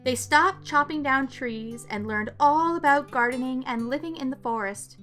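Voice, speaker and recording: High-voiced woman reading to young children. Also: moderate pace, faint music behind. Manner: expressive, explaining things simply with asides to children. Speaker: children's story time host. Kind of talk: read-aloud storytelling for young children.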